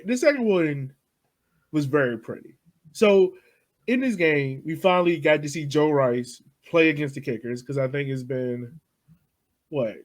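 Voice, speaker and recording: A man co-hosting a casual soccer podcast, thinking aloud in an animated way. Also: a little high. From United States. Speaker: podcast co-host. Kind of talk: casual sports chat.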